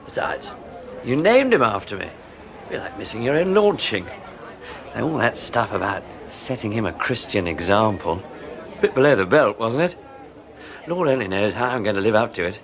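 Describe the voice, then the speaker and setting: An actor playing a wry, genial family friend with a British accent in a radio drama, urbane and jovial. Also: male voice, lowish voice, sing-song, normal speed, fairly bright tone, a faint crowd. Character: wry, genial male colleague, friend and godfather. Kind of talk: 1980s radio drama acting.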